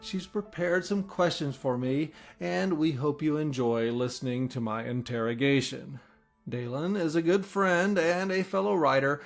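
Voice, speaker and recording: A man's voice: fairly high pitch, unhurried, gravelly, soft background music. A middle-aged American man chatting casually and easily as an author being interviewed. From United States.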